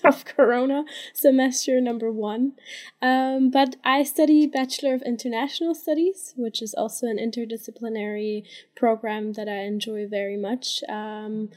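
A woman's voice: a little high, darkish tone. A young adult woman, a university student, introducing herself conversationally as a podcast guest.

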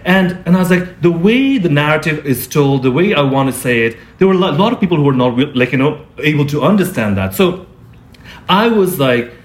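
A man who is a fashion designer, speaking in a conversational interview, thoughtful and often pausing to search for his words. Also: a little high, animated. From born in Singapore, grew up in Nepal and India.

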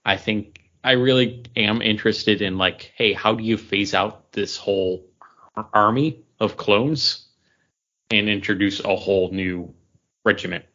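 A man with a somewhat low voice talks casually as a podcast co-host. He is a keen fan who revises his phrases mid-thought. The sound is a little dull and lo-fi. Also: a little slow, bright, forceful tone. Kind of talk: casual geek-culture chat.

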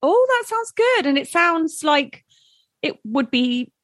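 A woman, co-hosting a casual podcast chat, chatty and quick to laugh. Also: high voice, very animated, fairly bright tone, no echo, clean studio sound. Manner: chatty, laughs often, trails off with fillers. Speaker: podcast co-host.

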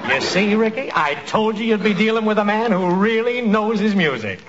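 An actor playing an eager, flattering hustler who claims to be reformed, coaxing emphatically in a 1940s radio comedy. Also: male voice, high voice, moderate pace. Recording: muffled, noisy 1940s radio recording.

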